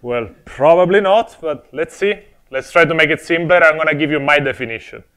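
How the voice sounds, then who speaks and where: A man's voice: fairly high pitch, fairly quick, fairly bright tone, smooth. A young man from Italy with an Italian accent, giving a friendly, explanatory conference talk on a technical topic with touches of humor. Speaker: conference speaker. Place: conference stage before an audience.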